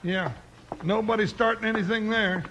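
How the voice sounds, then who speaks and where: An actor playing a big, folksy, loyal comic cowboy sidekick who blusters in a 1950s radio western. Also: male voice, high-pitched, fairly even intonation, moderate pace, very noisy, lightly acted. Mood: wary, cocky.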